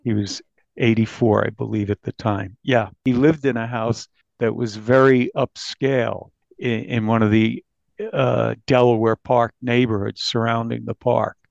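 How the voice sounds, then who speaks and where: A mature American man with a gravelly voice, a reporter recounting a story in a conversational interview. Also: medium pitch, level tone, a little slow, a little mellow, dry recording. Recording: dull, slightly lo-fi, dry.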